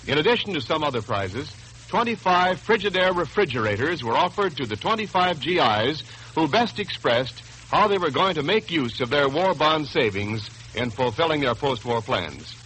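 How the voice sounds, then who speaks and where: American man, radio announcer, forceful bright tone, formal polished script reading, 1940s broadcast, faint hiss. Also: on the high side, sing-song, moderate pace, poor audio. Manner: emphatic, formal, polished delivery. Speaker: radio announcer and sponsor spokesman.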